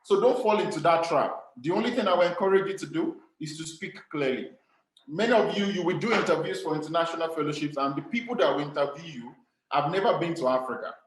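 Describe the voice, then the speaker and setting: A man's voice: on the high side, fast, reverberant, poor audio. Earnest Nigerian man giving advice. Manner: earnest, emphatic, repeats key phrases. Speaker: speaker on communication skills. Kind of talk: motivational talk to young people.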